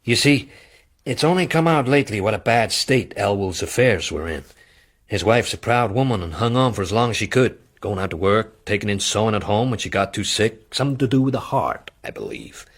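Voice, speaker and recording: A blunt businessman in a radio play. His voice is low and husky, with a lively, swooping, matter-of-fact delivery. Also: fairly quick. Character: blunt American businessman bearing grim news. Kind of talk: radio drama acting.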